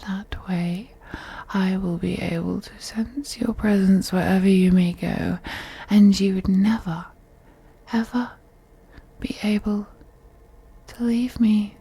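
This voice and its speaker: A very breathy, dark-toned vampire mistress, acted by a woman, speaking slowly and intimately. She purrs and teases, often sinking into a whisper. She is possessive and seductive in the manner of an ASMR audio roleplay. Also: medium pitch, steady tone, full character voice. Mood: possessive, menacing. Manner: slow, teasing, purring, often slipping into whispers. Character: wealthy, seductive, possessive female vampire toying with prey.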